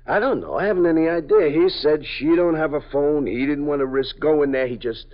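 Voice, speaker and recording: A man's voice: fairly high pitch, a little fast, close to an everyday voice. An actor playing a nervous male parolee informant who stammers and pleads, in 1950s radio drama style. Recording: dull old radio broadcast.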